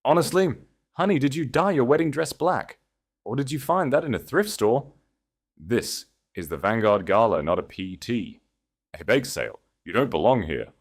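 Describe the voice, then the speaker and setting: A smug young mistress, put on by a male narrator, pitched up above his usual voice. She is venomous and condescending. Every line is mocking, in romance audio drama style. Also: very animated, moderate pace.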